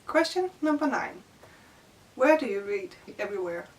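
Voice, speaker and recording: A woman's voice: mid-range voice, big pitch swings, normal speed, recorded from a distance, low-quality recording. Young woman, Danish accent, shy and hesitant book vlogger, mic set a little back, faint hiss. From Denmark. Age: young adult. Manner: shy, hesitant, many fillers like "like" and "you know". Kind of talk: casual vlog answering tag questions.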